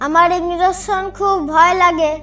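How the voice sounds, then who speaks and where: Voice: very high-pitched, little pitch movement, moderate pace, far from the mic. A performer voicing a curious, chatty young village child in a cartoon. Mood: curious, tender. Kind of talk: Bengali cartoon voice acting.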